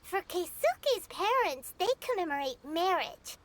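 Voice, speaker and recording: A woman voicing a small, chirpy female fairy-like travel companion in a video game, bubbly and excitable, talking about herself by name. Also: very high-pitched, measured pace, full character voice. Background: game music.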